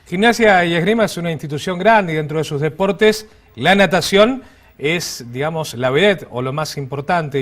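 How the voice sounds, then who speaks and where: An Argentine man co-hosting an interview, framing his questions conversationally. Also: a little high. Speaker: co-host.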